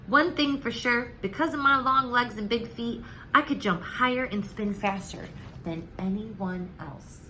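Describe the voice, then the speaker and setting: A woman's voice: on the high side, measured pace, sharp tone, slight background noise, rough recording. A woman reading a children's storybook aloud expressively, with dramatic, emphatic phrasing.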